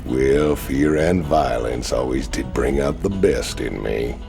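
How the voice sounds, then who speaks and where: Big cocky outlaw merc for a game, super deep gravel, slow folksy drawl, music behind. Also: male voice, heavily acted. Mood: amused, boastful. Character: burly ex-convict outlaw soldier, cocky and jovially violent.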